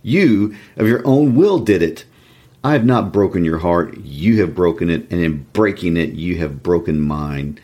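A man's voice: deep voice, lively intonation, unhurried, a little mellow. A man reading the part of an anguished, savage young male lover in an intense, despairing, reproachful way, as in a classic novel. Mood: bitter reproach.

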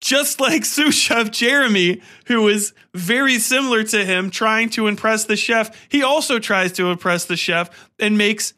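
A man speaks as a podcast host in a loose, rambling commentary style. His voice sits a bit high and has a sharp, forceful brightness. He is excitable and emphatic, with casual profanity. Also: a little slow, few pauses.

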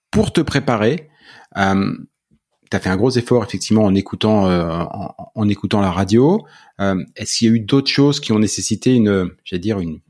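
A man hosting a podcast interview, asking measured, probing questions. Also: lowish voice, darkish tone, breathy edge. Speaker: podcast host.

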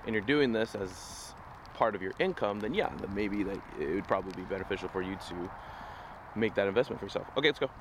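A man's voice: on the low side, expressive, quick pace. A man hosting a walking vlog, losing his voice, talking in a casual, rambling, upbeat motivational way. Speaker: vlogger host. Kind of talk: walking talk to camera, motivational. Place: outdoors on a city street. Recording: noisy outdoor street recording.

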